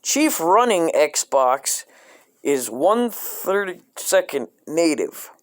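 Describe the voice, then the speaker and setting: Deadpan man reading with lively swings. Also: fairly high pitch, drawn-out words, no room sound. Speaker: host reading a coloring book aloud. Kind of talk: comic deadpan reading with profane asides.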